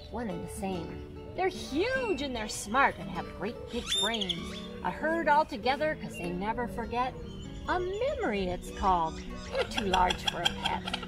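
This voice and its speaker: An older woman, a zoo guide, reads a rhyming picture book aloud outdoors by a pond in a sing-song storytime manner. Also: a little high.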